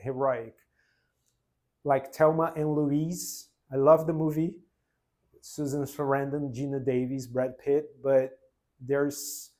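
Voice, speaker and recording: A man in his forties from Brazil with a Brazilian Portuguese accent, whose first language is Portuguese, telling his own story unscripted in an earnest, halting way with many restarts. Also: medium pitch, darkish tone. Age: 40s. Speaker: vlogger. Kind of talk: spontaneous personal testimony.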